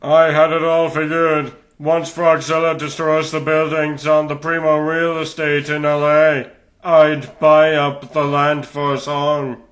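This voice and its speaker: A man's voice: fairly high pitch, level tone, unhurried, a bit bright. A man playing a crabby, greedy crab swindler who schemes and wisecracks in a comic book cartoon dub. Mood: smug scheming.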